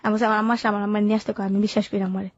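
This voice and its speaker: Fast-talking young Bangladeshi woman, muffled dry sound. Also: mid-range voice, level tone, no room sound. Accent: colloquial Bangladeshi Bengali. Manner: matter-of-fact, unsentimental telling. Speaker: guest telling her life story. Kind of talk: informal first-person storytelling.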